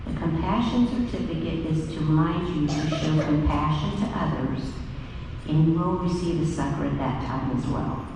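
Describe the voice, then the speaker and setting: A school administrator with a loud, firm and friendly voice, speaking slowly to young children and their families at an awards ceremony. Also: mid-range voice. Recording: very echoey hall, far from the mic, noisy.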